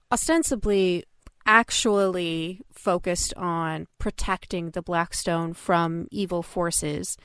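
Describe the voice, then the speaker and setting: A woman's voice: fairly low pitch, clear, resonant tone, dry recording, clean studio sound. A woman in a casual fan discussion, speaking as a thoughtful, analytical podcast panelist.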